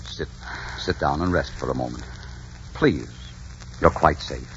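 An actor playing a commanding, ardent Spanish conquistador who also narrates, in a dramatic 1940s radio adventure style. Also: male voice, a bass voice, unhurried, a bit bright, a little hiss or hum. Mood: tender. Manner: dramatic, authoritative. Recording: dull 1940s radio recording, music behind.

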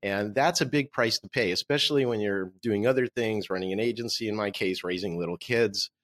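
A man in his forties, born in Russia with Russian as his first language, answering interview questions as an author in a relaxed, joking way. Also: fairly low pitch, a little fast, no room sound. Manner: relaxed, joking, laughs at own quips. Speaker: author and marketing agency owner.

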